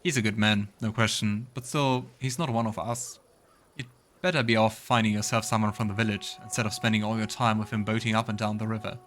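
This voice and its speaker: A man's voice: lowish voice, steady tone, brisk, a bit bright, slight background noise, close to an everyday voice. A man voicing an amiable, teasing young village fisherman in a fantasy game, plain-spoken and easygoing. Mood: mock disapproving.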